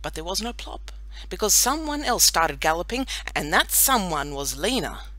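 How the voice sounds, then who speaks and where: A woman narrating a children's audiobook in an expressive storytelling style. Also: lowish voice, normal speed, dry recording.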